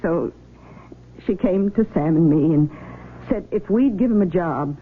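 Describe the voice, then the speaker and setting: A woman's voice: fairly low pitch, expressive, unhurried, slight background noise. A woman playing an earnest, pleading small-town wife, worried and outspoken, in a 1970s radio drama. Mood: fond, earnest. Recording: muffled vintage radio broadcast.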